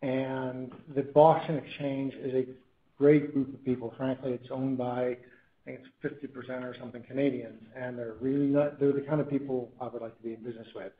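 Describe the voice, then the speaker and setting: An American man from New England commenting off the cuff as an executive on an earnings call, halting and often restarting his sentences. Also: medium pitch, little pitch movement. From New England, United States. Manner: halting, self-correcting, with repeated restarts. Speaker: company chief executive. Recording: tinny phone line with room echo.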